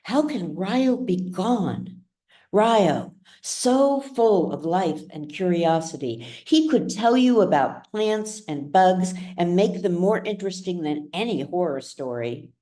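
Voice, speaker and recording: A woman reading literary fiction aloud as a narrator, with measured, dramatic storytelling. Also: lowish voice, lively intonation, mellow. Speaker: author reading their own novel. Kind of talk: scripted audiobook narration.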